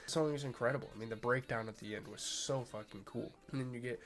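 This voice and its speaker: A young man hosts a casual album review with a congested, nasal voice. He talks loosely and eagerly, stacking fillers and stumbling restarts, his pitch sitting in an ordinary middle range. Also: fast, soft background music. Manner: loose and excited, many fillers and repeated starts. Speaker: music reviewer, channel host.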